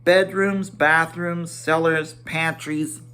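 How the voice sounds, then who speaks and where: A man's voice: fairly high pitch, fairly even intonation, slow, sharp tone. A man reading a fantasy storybook aloud in a theatrical, emphatic manner, stumbling now and then. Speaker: amateur storybook reader. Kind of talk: dramatic read-aloud of a children's fantasy novel.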